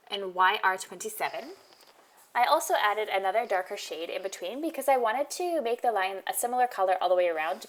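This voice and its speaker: Woman, crafting tutorial host, nasal with a cold, casual voice-over, chatty step-by-step, mid pitch. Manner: chatty, explains each step, frequent ums. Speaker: card-making tutorial host.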